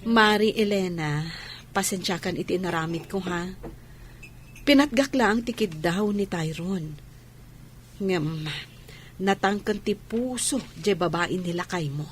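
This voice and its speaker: A woman's voice: fairly low pitch, measured pace, pauses often, a little hiss or hum, natural voice. A woman playing a concerned, sympathetic friend and confidante in an Ilocano audio drama, speaking without hurry. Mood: caring.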